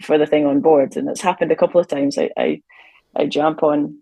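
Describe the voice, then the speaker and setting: Low, gravelly Shetland Scottish woman. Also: fairly even intonation, fairly quick, mellow, no room sound, rough recording. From Shetland, Scotland. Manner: chatty, laughs easily, many ums. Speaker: sailor and fiddler, podcast guest. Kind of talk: casual interview chat. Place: hotel room on tour.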